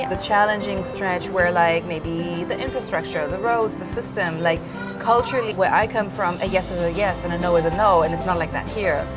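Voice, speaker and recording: Woman from Germany, interview testimonial, reflective, loud background music. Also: mid-range voice. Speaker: interviewee, relocated mother.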